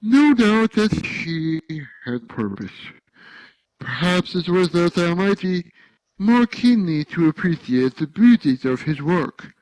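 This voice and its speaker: A man voicing a fat, weepy, saccharine foreign traveller with a thick, slightly guttural voice and a sugary, slow, self-pitying way of talking. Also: mid-range voice, animated, rough recording. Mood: pious self-pity.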